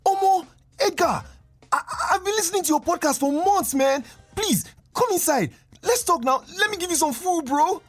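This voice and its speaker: A man's voice: extremely high pitch, lively intonation, normal speed, a bit bright, faint music behind, voice pushed up. A man voicing an effusive, pushy male fan with a Nigerian accent, insistently repeating words in a comic story. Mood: gushing, welcoming. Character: excitable, pushy adult male fan, gushing. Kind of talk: acted dialogue in comic storytelling.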